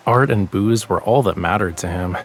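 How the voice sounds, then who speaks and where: Romance game lead: young male artist, playful and languid, soft low delivery, faint music underneath. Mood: wistful. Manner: soft, languid, unhurried, teasing. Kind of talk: romance game character dialogue. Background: background music with guitar.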